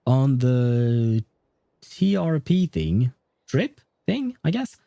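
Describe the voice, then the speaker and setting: Male streamer, relaxed dark tone, medium pitch, rambling casual chat with viewers. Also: extremely slow, gravelly, dry recording. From France. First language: French. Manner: rambling, chatty, answers chat as he goes. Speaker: game livestreamer. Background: faint game music.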